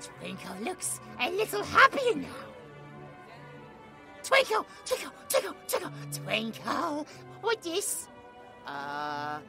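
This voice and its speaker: A man's voice: very high-pitched, creaky, pushed and bright, exaggerated voice. A British man voicing a childlike, clingy possessed demon who talks about himself in the third person with babyish words.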